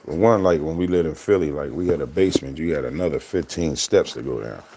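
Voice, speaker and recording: A man in his thirties with a deep voice, telling loose, rambling stories to his camera as a casual vlog and laughing often. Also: quick pace, background noise. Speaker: vlogger.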